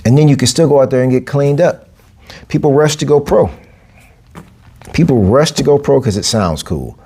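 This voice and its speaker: A man, hosting a solo podcast as a boxing and capoeira coach. He talks emphatically, repeating phrases and jumping up in pitch to drive points home. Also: dark tone. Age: 40s. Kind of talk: casual solo podcast monologue.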